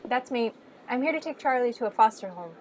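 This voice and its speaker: A woman's voice: a little high, expressive, brisk, a little mellow, a little hiss or hum, close to an everyday voice. A woman playing a businesslike social worker in a radio play.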